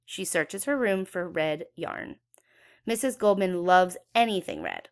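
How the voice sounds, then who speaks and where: A woman's voice: on the low side, huge pitch swings, measured pace. A woman reading a children's story aloud expressively, with dramatic emphasis for young listeners. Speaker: children's book read-aloud educator.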